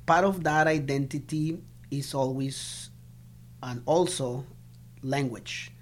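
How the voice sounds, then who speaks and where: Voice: mid-range voice, slight background noise. An interviewer from Colombia asking questions in an encouraging way, often restarting phrases. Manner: encouraging, asks in halting restarts. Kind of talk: casual interview.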